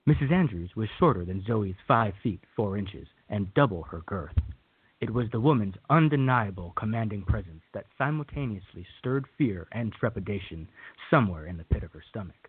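A man's voice: on the low side, lively intonation, moderate pace, breathy edge, no room sound. A man narrating a scripted radio drama as a storyteller. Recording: tinny, phone-like narrow band.

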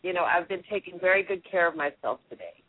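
A woman with a deep voice, sick with a cold, chatting casually and giggling as co-host. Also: lively intonation, fast, husky, no echo. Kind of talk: casual chat. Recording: tinny phone line.

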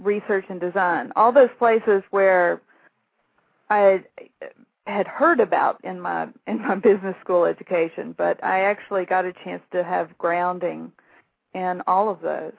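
A middle-aged Southern woman with a gravelly, creaky voice tells her stories in a rambling, self-deprecating way, with laughs along the way. The sound is a tinny phone line, close and dry. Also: lowish voice, fairly even intonation, unhurried, no echo. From Shreveport, Louisiana. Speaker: author and guest. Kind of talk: phone interview, storytelling.

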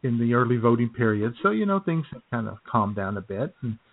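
A man co-hosting a chat show, telling a story in a relaxed way, often chuckling and clearing his throat. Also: mid-range voice, brisk. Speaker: podcast co-host. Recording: phone-like lo-fi audio.

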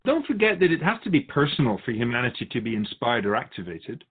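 Sardonic spirit guide acted by a man, radio drama, animated intonation, pedantic, amused by humans, muffled narrow recording. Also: mid-range voice, fast, slightly rough. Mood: matter-of-fact, teasing. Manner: sarcastic, chuckling, pedantic. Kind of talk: scripted radio conversation.

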